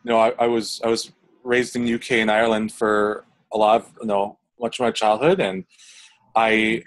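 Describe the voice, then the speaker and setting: A man's voice: fairly low pitch, flat delivery, moderate pace. A young man, a composer, answering interview questions in casual talk, rambling with many fillers and restarts.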